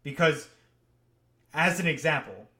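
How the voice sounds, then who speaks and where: A man's voice: a little high, expressive, unhurried, bright, forceful tone. A man who grew up partly in Japan, co-hosting a podcast and giving blunt, opinionated views in casual chat. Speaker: podcast co-host. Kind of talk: casual discussion.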